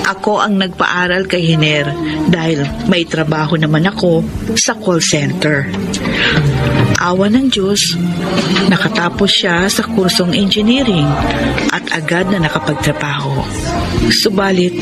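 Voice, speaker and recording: A woman's voice: lowish voice, a little slow, pauses often, over loud music, natural voice. A woman playing a devoted, tender thirty-year-old longing for marriage in a radio drama. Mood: wistful. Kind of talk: radio drama letter narration and dialogue.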